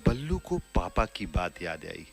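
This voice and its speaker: A man reads scripted narration for a children's story. His delivery is slow with lively, wide intonation, and faint music plays behind him. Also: low-pitched, creaky.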